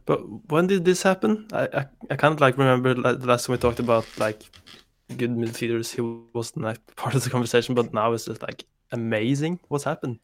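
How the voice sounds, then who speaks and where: A man's voice: mid-range voice, a little fast, slight background noise. A man whose first language is Norwegian, co-hosting a casual podcast chat, hesitant and full of "like". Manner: hesitant, repeats words, says "like" a lot. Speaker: podcast co-host.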